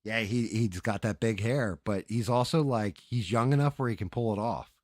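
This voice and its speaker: A man's voice: lowish voice, normal speed, dry recording, clean studio sound. A middle-aged man chatting casually as a livestream host, crude and joking in a rambling, sweary way.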